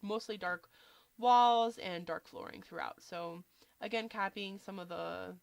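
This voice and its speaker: A woman with a slight cold, a little nasal, casually narrating a game build in a relaxed, chatty way with lots of fillers. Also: mid-range voice, a little slow, creaky, no echo. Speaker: game building video creator.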